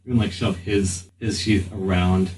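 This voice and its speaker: Slow, low-pitched man narrating in a roomy space. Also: steady tone, darkish tone. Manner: halting, with long pauses mid-sentence. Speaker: game master. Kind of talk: improvised narration of a tabletop roleplaying game. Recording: roomy, distant mic with faint noise.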